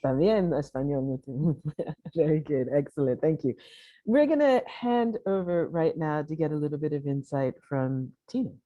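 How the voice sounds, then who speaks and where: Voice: medium pitch, big pitch swings, normal speed, no echo. A panel moderator speaking courteously and encouragingly while hosting a discussion. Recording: dry, muffled, dull sound.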